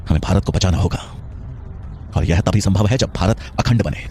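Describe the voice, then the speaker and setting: A man's voice: deep voice, normal speed, dark tone, slight background noise. A man playing a proud, principled scholar and teacher, speaking in an earnest, formal, declamatory way. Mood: resolute.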